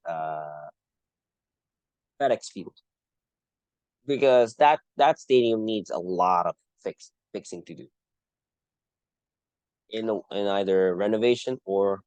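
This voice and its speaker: A man talks through the sports news on his own show, earnest and halting, often circling back to restart a phrase. His voice sits on the low side. The sound is dry, with no room echo. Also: darkish tone. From Philippines. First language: Tagalog. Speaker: podcast host. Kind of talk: solo sports commentary.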